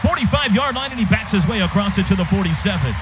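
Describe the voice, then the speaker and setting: A man's voice: high voice, lively intonation, fast talker. An American man calling live football play-by-play on the radio in clipped, punchy phrases. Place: stadium radio booth. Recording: dull, noisy live radio broadcast.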